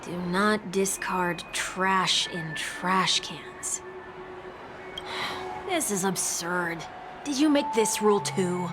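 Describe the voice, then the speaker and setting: A woman's voice: medium pitch, takes it slowly, close to an everyday voice. A young woman voicing a wry, sardonic adventurer in a video game, with a dry, teasing delivery. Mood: exasperated. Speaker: game character. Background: game background music.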